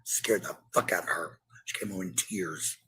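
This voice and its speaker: A woman with a low-pitched voice delivering an angry, confrontational rant, swearing freely and repeating herself as a parent hitting back at critics. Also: moderate pace, noisy background. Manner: combative, profane, repetitive. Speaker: parent answering online critics.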